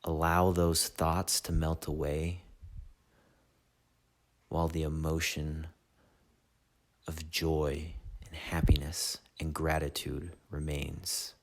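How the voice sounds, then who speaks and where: A man leading a guided meditation in a soothing, measured way, with long pauses between short phrases. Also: extremely low pitch, level tone. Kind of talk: slow guided meditation narration.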